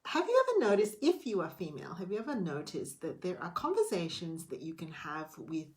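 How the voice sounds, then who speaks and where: Candid chatty woman, rather low voice. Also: wildly swooping pitch, a little fast. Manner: theatrical greeting, then candid and chatty. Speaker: vlogger. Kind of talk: casual late-night vlog monologue.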